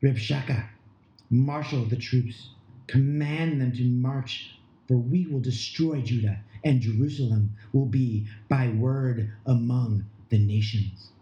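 Guy doing a grandiose evil assyrian king for an audio drama, really slow and menacing, echoey room. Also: medium pitch, warm tone, lo-fi sound, natural voice. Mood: menacing, haughty. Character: pompous, menacing male Assyrian ruler rallying his forces. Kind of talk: amateur audio drama acting.

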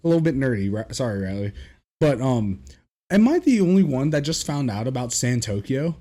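A man's voice: medium pitch, lively intonation, a little fast, mellow. A man from California co-hosting a podcast, joking around and laughing often in casual chat. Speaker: podcast co-host.

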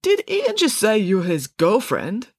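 Woman doing an awkward, sheepish schoolboy, pitched up. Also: huge pitch swings, darkish tone, no echo, clean studio sound, full character voice. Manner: hesitant, halting. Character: awkward, sheepish schoolboy, hopeful and easily deflated.

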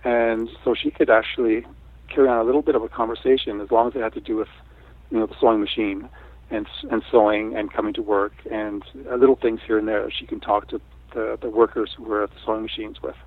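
A middle-aged man from Canada, Italian as his first language, talking in a thoughtful, unhurried way with frequent restarts in an interview. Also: fairly low pitch, flat delivery, dry recording. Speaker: guest artist. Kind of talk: casual interview talk. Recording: tinny phone line.

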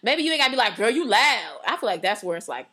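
A woman co-hosts a casual podcast, talking loud and passionate with a bright, sharp tone. Her pitch swoops around a lot as she laughs and jumps back in. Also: very animated, quick pace, husky. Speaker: podcast co-host.

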